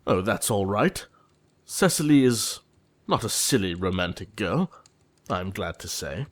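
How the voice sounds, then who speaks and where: An actor playing an earnest, fussy young gentleman in a comedy audio play. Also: lowish voice, big pitch swings, a little slow. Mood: brisk, dismissive. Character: earnest, easily exasperated young English gentleman, lovesick.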